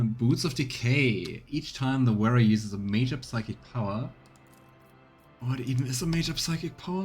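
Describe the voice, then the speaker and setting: Male game streamer, casual and musing, reads text aloud, medium pitch, music underneath. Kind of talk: casual let's play commentary. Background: video game music.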